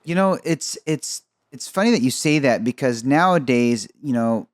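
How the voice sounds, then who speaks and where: Male podcast host from Egypt, mid-pitched, chatty, dry studio sound. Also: expressive, dry recording. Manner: upbeat, chatty, quick asides. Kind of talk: conversational interview.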